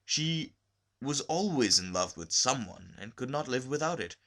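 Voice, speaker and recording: A male story reader with a bright, forward voice at a middling pitch. He narrates literary fiction expressively, shaping each phrase deliberately and in a measured way. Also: animated.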